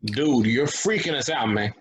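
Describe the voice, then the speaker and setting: A man's voice: mid-range voice, lively intonation, normal speed, background noise, close to an everyday voice. An actor playing an easygoing, curious young man with a casual delivery, in a table reading of a stage play. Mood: calming, puzzled. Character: easygoing, curious young guy keeping peace.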